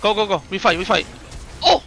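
A man in his twenties streaming a game, chatting excitedly and firing off repeated, slangy callouts to teammates. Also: sits high, brisk, creaky, over music, rough recording. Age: 20s. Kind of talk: excited live gameplay chat with teammate callouts. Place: indoor room at home.

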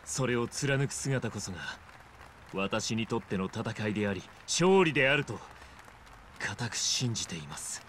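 A man's voice: fairly low pitch, lively intonation, unhurried, a bit bright, slight background noise. An actor playing an elegant, vain male fighter and club owner in a video game, speaking with polished, formal theatricality. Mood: calm, gracious. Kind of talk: dramatic video game acting.

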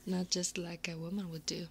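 Woman acting as older centaur woman, native Italian speaker, ASMR roleplay, slightly low pitch, breathy whispers, intimate, gentle teasing, faint background noise. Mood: playful, teasing. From Italy.

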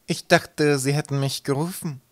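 A man's voice: fairly high pitch, moderate pace, voice pushed up, natural voice. A man voicing a sly, obliging pirate ship's mate with a falsely innocent manner in an audiobook. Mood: feigned innocence. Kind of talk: audiobook character acting.

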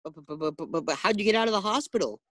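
A man's voice: high-pitched, racing, fairly bright tone, dry recording, studio quality. A man playing a flustered, boastful, naive husband who stammers when rattled, in an old-time radio sitcom style.

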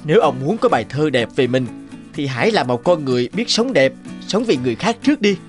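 A man's voice: fairly high pitch, big pitch swings, quick pace, faint music behind. A man voicing a timid, humble village poet in a cartoon, hesitant and polite. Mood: earnest. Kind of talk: cartoon dubbing.

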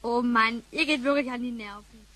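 An actress playing a bossy, lively little child with a childlike voice in a radio play. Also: female voice, fairly high pitch, moderate pace, lightly acted. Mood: exasperated. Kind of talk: German radio play acting.

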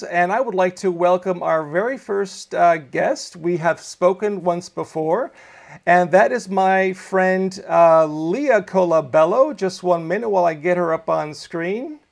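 A man's voice: high voice, a little slow, gravelly. A middle-aged man from Greece, hosting a live online show from a small video studio with enthusiastic energy. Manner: upbeat, enthusiastic presenter. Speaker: host.

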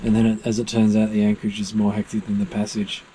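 A man's voice: on the low side, completely flat delivery, fast, dark tone, slight background noise, poor audio. A worn-out man in his thirties, rambling through a casual recap with lots of ums while lying in the cramped cabin of a small boat. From Australia. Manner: rambling, many ums and restarts. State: exhausted. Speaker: solo sailor vlogger. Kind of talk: tired casual recap to camera. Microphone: camera close in front, lying down.

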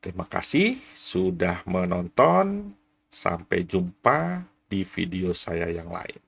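Guy in his 40s doing a casual gear review, low voice, bright and forceful. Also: big pitch swings, slow pace, gravelly, breathy edge. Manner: frequent "ya" tags, explanatory. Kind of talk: casual product review to camera.

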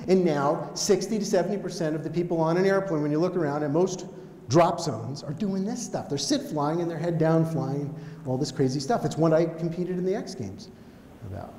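A middle-aged American man giving a conversational motivational talk on stage, telling stories in an animated manner. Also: a little high, normal speed. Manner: animated, conversational, acts out dialogue. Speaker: keynote speaker. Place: on stage in an auditorium. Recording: echoey hall with background noise.

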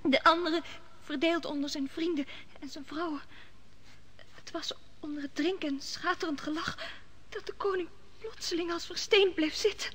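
A woman's voice: extremely high pitch, steady tone, moderate pace, fairly bright tone. An actress playing a frightened young servant girl in an old radio play, reporting news hesitantly. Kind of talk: 1950s radio drama acting.

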